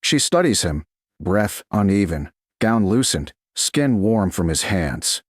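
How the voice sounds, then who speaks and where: Male audiobook narrator for romance: low register, lively intonation, measured dramatic phrasing. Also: no room sound, studio quality. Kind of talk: scripted romance story narration.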